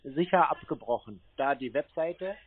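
A man's voice: on the high side, animated, moderate pace. A man in a casual phone conversation, patient and matter-of-fact, spelling things out. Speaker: prank caller posing as a customer to phone scammers. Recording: narrow tinny phone-like line.

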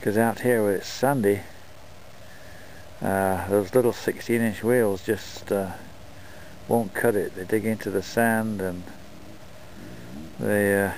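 Low-voiced man chatting outdoors. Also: slight background noise, low-quality recording. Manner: relaxed, unhurried talk with fillers. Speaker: dog owner filming a scooter run. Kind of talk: casual vlog narration. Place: open desert outdoors.

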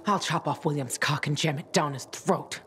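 A woman's voice: low-pitched, moderate pace, fairly bright tone, a little hiss or hum. An actress voicing a tough, fierce young female fighter in a video game, blunt and commanding. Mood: grim, bitter.

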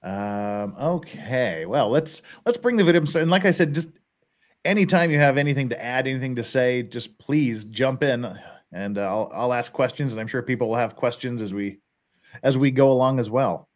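Man, middle-aged, livestream host, animated pitch, chuckling, headset mic. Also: normal speed. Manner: lively, chuckling, reads chat aloud. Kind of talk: casual livestream chat. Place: home studio in front of a backdrop.

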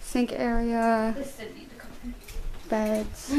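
A woman's voice: on the high side, flat delivery, extremely slow, warm tone. Chatty young woman vlogging to camera. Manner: chatty, rambling, filler-heavy. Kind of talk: casual vlog chat. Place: bedroom, then car and hotel room. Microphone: handheld camera at arm's length. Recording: noisy handheld camera audio.

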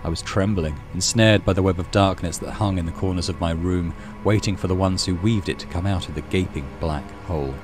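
A man's voice: low-pitched, fairly quick, few pauses, music in the background. A man reading a horror visual novel aloud as a dramatic narrator for a let's play.

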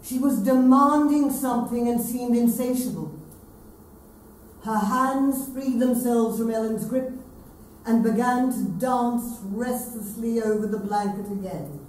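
A woman's voice: a little high, unhurried, a little mellow. A woman narrating literature in a staged reading. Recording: distant, echoey hall with noise.

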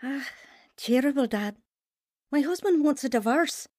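A woman's voice: high voice, animated, unhurried, a little mellow, no echo, studio quality. A woman playing a vulnerable, earnest young wife and mother in a radio drama. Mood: troubled, earnest. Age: young adult. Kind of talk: radio drama acting and first-person narration.

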